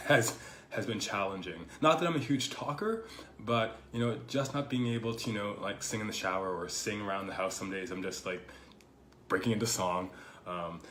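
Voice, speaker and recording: A man hosting his own podcast, talking casually and reflectively with lots of fillers, his voice a little hoarse from a lingering cold. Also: low-pitched, fairly bright tone. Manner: thoughtful, rambling, full of "you know" fillers. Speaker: podcast host. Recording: echoey room with faint background noise.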